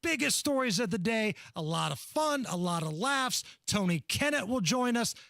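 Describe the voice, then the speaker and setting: A male radio host gives an upbeat promo in a very high, bright voice. The delivery swings up and down and sounds close and dry. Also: expressive, normal speed, vocal fry, no room sound.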